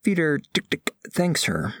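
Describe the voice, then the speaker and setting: A man's voice: on the high side, wildly swooping pitch, unhurried, bright, forceful tone, no room sound, clean studio sound, exaggerated voice. A man playing an earnest, formal alien scholar, narrating a scripted audio drama in precise, literal wording. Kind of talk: scripted first-person narration.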